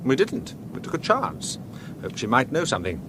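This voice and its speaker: An actor playing a brisk, unruffled British police officer in a radio drama, matter-of-fact and given to casual understatement. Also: male voice, medium pitch, huge pitch swings, noisy background.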